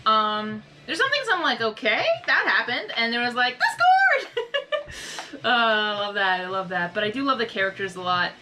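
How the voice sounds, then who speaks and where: A young woman hosting a reaction video, talking in a rambling, earnest, soft-spoken way with many fillers and restarts. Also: a little high, very animated, normal speed, noisy background. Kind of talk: casual reflective monologue.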